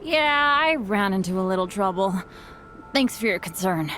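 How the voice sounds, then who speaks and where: A woman's voice: medium pitch, expressive, normal speed, a bit bright, a little hiss or hum, close to an everyday voice. A woman voicing an earnest, good-natured boy adventurer in a video game. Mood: sheepish.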